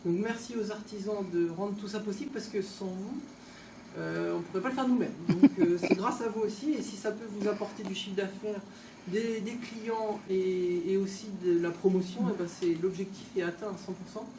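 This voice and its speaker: Female local official, mid-pitched, informal unscripted speech with hesitant conversational flow; distant pickup with clear background noise. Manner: conversational, halting off-the-cuff delivery. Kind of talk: informal opening speech at an exhibition. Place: indoors, tourist office exhibition hall. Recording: echoey room, distant mic, background noise.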